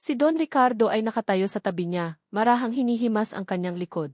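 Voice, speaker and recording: A woman's voice: fairly high pitch, lively intonation, a little fast, darkish tone, no room sound, studio quality. A woman reading a dramatic romance story as a scripted narration.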